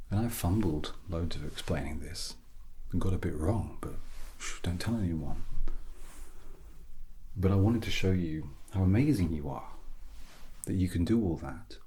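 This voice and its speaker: A British man softly giving an ASMR English lesson as a teacher, breathy and often near a whisper, with an awkward, self-deprecating, gently encouraging manner. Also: sits low, expressive, unhurried, pauses often, slight background noise. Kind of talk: softly spoken ASMR grammar lesson roleplay.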